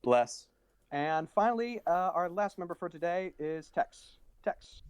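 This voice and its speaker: A man's voice: sits high, a little slow, clear, resonant tone, no echo. A man moderating a panel in a casual, chatty style, handing over from speaker to speaker. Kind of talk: casual chat.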